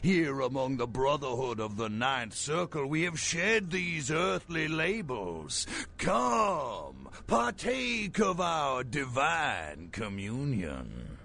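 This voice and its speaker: Video game villain: fanatical vampire cult preacher, grandiose, slow and deliberate, dramatic rises and drops. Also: male voice, mid-range voice, sing-song, vocal fry, bright, forceful tone, full character voice. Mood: grandiose, welcoming. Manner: grandiose, theatrical preacher's delivery with drawn-out words.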